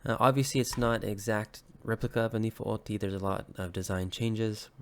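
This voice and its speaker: Low-voiced man, slightly sick, relaxed. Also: fairly quick. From Hawaii. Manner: relaxed, many ums and uhs, corrects himself. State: slightly sick, recovering from illness. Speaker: woodworker and weapon maker. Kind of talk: casual show-and-tell vlog.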